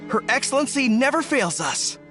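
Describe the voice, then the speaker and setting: A loyal young military commander in a video game, with a clearly high-pitched male voice. He speaks formally and sincerely, with light music in the background. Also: very animated, normal speed, bright, forceful tone, full character voice. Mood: reflective.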